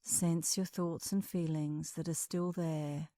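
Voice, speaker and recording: A woman leading a guided meditation as an instructor, speaking in a calm, soothing, measured way with long pauses. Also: deep voice, dry recording, studio quality.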